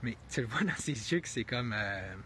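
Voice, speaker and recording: A man's voice: mid-range voice, lively intonation, normal speed, fairly bright tone, a little hiss or hum. A man with a Québécois accent giving unscripted live commentary, rambling and thinking aloud with many restarts and fillers, earnest and given to emphatic rhetorical questions. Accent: Québécois French. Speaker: live streamer.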